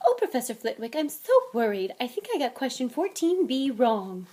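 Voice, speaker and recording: A teasing boy's imitation of a fretful girl, put on by a woman reading a storybook. The voice is high and exaggerated, full of mock worry. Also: sing-song, moderate pace, dark tone.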